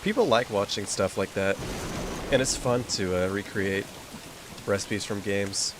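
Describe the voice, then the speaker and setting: A man's voice: on the low side, measured pace. Young man chatting casually over hiss. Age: young adult. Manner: rambling, with many fillers. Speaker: gaming streamer. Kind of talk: casual chat while playing a game.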